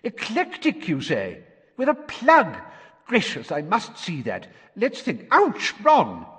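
Man doing an excitable, scatterbrained wizard dad. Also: sits high, slow, slightly rough, dry recording, pitched above the performer's own voice, full character voice. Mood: excited. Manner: eager and scatterbrained. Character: excitable, vague middle-aged wizard father.

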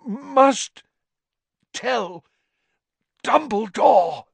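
A raving, exhausted old official spits out his words in broken fragments, with a man reading the part pitched high and strained. The voice swings wildly between whispers and desperate cries, recorded in a dry, close room. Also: lively intonation, normal speed, a bit bright, no echo. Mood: frantic. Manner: broken, gasping, fragmentary speech. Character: deranged exhausted older male official, raving.

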